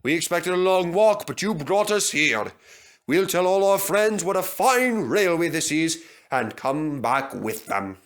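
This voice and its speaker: A man's voice: fairly high pitch, very animated, measured pace, voice pushed up. A man voicing grateful railway passengers in a children's story, earnest and appreciative. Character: grateful railway passengers speaking together as one.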